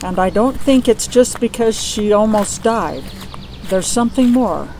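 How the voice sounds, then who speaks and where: A worried mother , played by a woman, speaks earnestly about her daughter in a fantasy audio drama. Her voice sits at a middle pitch. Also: animated, unhurried, a little mellow, a little hiss or hum. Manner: earnest, concerned. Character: worried mother of a young mage.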